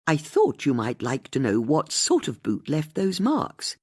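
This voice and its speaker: An audiobook narrator voicing a confident, bossy older woman amateur sleuth with a brisk, emphatic manner. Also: medium pitch, huge pitch swings, darkish tone, breathy edge, dry recording, clean studio sound, pitched above the performer's own voice, full character voice. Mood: breezy, self-assured.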